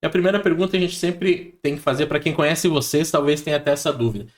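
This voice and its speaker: A man hosting a relaxed podcast interview, asking slow, hesitant questions full of fillers. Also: fairly high pitch. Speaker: podcast host. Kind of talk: casual interview.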